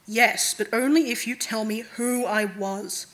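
A woman's voice: a little high, fairly even intonation, measured pace, fairly bright tone, rough recording. Woman voicing a troubled, bitter undead king. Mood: fearful, pleading.

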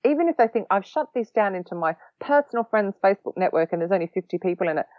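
A woman's voice: mid-range voice, animated, no room sound. Chatty Australian woman, dull dry sound. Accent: Australian, long lived in London. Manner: chatty, quick-witted, a bit sarcastic. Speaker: podcast guest, social recruiting trainer. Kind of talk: casual podcast interview.

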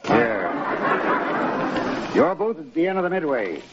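An actor playing a curt, commanding carnival show manager in a 1930s radio drama. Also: male voice, on the high side, big pitch swings, unhurried, a loud crowd. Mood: businesslike. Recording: muffled, hissy 1930s radio recording.

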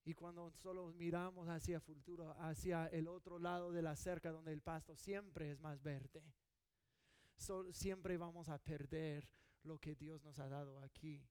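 A man's voice: a little high, steady tone, a little slow, darkish tone, clean tone, no room sound. A middle-aged man from California preaching a sermon in an earnest, conversational way, often restarting his sentences. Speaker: pastor.

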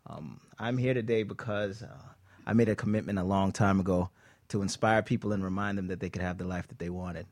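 A man introducing himself as a guest on a radio show, relaxed and playful. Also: deep voice, fairly quick, dry recording, clean studio sound.

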